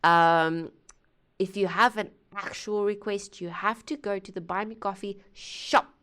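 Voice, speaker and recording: Chatty woman with a smooth voice. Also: mid-range voice, steady tone, unhurried, fairly bright tone. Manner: rambling and chatty, with many fillers and asides; playful, laughing at herself. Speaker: music reaction channel host. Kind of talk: casual chat to viewers. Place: home studio. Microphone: gaming headset.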